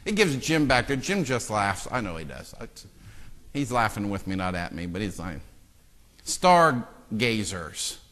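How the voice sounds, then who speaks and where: A man preaching a sermon as pastor, swinging from hushed, joking asides to loud, impassioned exclamations. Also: fairly low pitch, big pitch swings, unhurried. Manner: self-deprecating joking with the congregation. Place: church sanctuary. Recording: long church-hall reverb.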